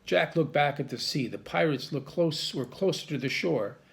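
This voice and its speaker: A man reading a children's chapter book aloud in a lively storytelling manner. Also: fairly high pitch, moderate pace, gravelly. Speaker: staff reader.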